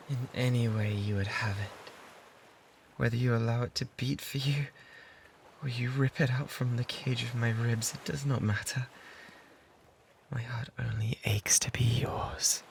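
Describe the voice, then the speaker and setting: Man acting a lovesick, pleading British pirate. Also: mid-range voice. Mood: tender, aching. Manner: fervent, breathy pleading that often drops toward whispers. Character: lovesick obsessive male pirate, pleading and self-abasing. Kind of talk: romantic ASMR roleplay acting. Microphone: close binaural microphone. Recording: noticeable background noise and ambience.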